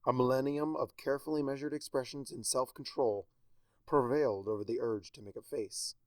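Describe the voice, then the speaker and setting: A man's voice: medium pitch, steady tone, a little fast, no echo. Wry man narrating a story. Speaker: fanfiction narrator. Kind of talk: dramatic storybook reading.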